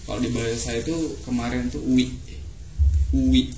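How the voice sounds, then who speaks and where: A man's voice: mid-range voice, flat delivery, brisk, dark tone. A young man from Lombok, chatting casually and patiently explaining words with repeated examples. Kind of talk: casual chat. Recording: echoey room with audible noise.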